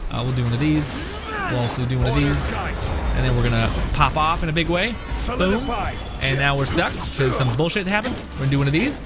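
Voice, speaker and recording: A male streamer talks over his game in a medium-pitched voice. He is casual and blunt, rambles along and swears freely. Music is clearly audible in the background. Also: a little fast. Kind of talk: casual gameplay commentary.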